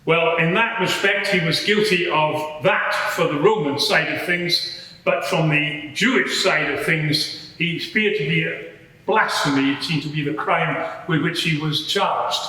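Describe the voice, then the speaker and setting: A man playing a matter-of-fact Roman soldier giving measured, explanatory testimony at a dramatised courtroom inquiry. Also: fairly high pitch, sharp tone. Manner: measured, explanatory, slightly hesitant. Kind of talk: dramatised courtroom testimony before a live audience. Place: large courtroom in a public building. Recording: very echoey large hall.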